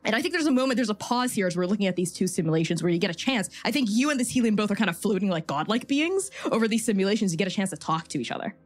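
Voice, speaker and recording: A woman's voice: mid-range voice, lively intonation, racing, hardly any pauses. Woman improvising tabletop game narration over music. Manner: conversational, checking in with "right?". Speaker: game master.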